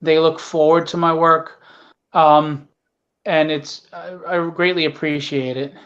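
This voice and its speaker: A man speaking haltingly as a guest author, repeating words, on a muffled phone video call. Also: on the high side, little pitch movement, fairly bright tone. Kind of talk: casual interview answer. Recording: muffled noisy call audio.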